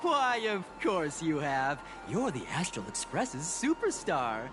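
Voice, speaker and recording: A woman's voice: sits low, huge pitch swings, takes it slowly, music in the background, full character voice. An actress playing a saccharine, pious female recruiter for a happiness cult in a video game, effusive, formal and sanctimonious. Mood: effusive preaching. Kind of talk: theatrical video game voice acting.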